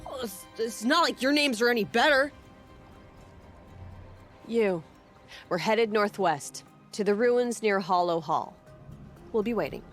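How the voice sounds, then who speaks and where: Actress as a sharp-tongued young huntress, expressive delivery. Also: female voice, medium pitch, measured pace, pauses often, close to an everyday voice. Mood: grudging, curt. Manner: blunt, sardonic. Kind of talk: video game cutscene acting. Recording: music behind the voice.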